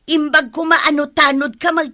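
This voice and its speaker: Sharp, high-voiced Ilocano village housewife. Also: female voice, flat delivery, moderate pace. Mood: scolding. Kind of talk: Ilocano radio comedy drama acting.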